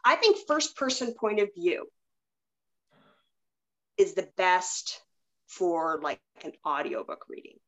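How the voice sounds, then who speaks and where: A woman's voice: on the low side, very animated, takes it slowly, long pauses, a bit bright. Woman chatting in a casual, rambling way. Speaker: podcast host. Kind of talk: casual chat.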